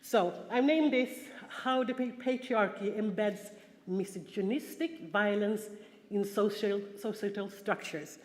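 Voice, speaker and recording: Swedish-accented woman speaker, earnest and halting, mid pitch, recorded in a reverberant conference hall. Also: darkish tone. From Sweden. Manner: earnest, halting, frequent restarts and fillers. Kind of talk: personal testimony talk with slides. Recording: echoey hall, long reverb.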